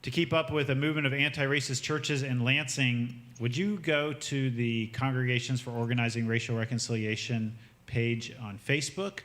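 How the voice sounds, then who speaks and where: A man's voice: mid-range voice, fairly even intonation, moderate pace, a bit bright. A man hosting a church program, giving closing remarks and announcements in an upbeat, inviting way with small fillers. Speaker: church host.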